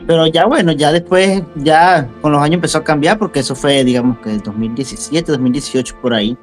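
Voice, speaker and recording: A man's voice: on the high side, fairly quick. Venezuelan man telling his story, light music. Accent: Venezuelan Spanish. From central Venezuela. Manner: conversational, repeats words for emphasis. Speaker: interview guest. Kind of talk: casual interview storytelling.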